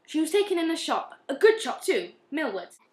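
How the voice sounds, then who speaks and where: Woman as police inspector, high voice, measured, morally severe, insistent, amateur radio drama. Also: very animated. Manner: measured, deliberate, firm. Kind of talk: amateur radio play acting.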